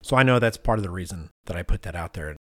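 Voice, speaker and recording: A man's voice: sits low, expressive, fast talker, no echo, clean studio sound. A middle-aged man co-hosting a podcast, telling stories in a casual, conversational way and acting out what people said. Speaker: podcast co-host.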